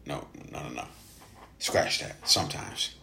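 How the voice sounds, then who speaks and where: A man who stutters, speaking casually in a halting personal monologue with frequent gaps and hesitations, as a podcast host. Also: bright, forceful tone.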